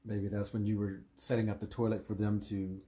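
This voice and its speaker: Low-voiced middle-aged American man. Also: little pitch movement, a little fast, dark tone. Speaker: camera operator reading viewer questions. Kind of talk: casual livestream chat.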